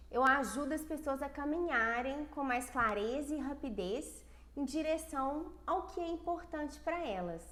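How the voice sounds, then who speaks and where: A woman's voice: high voice, echoey room. A Brazilian woman speaking as a self-esteem coach in a casual livestream, earnest and personal with frequent restarts, congested from a cold. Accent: Brazilian Portuguese.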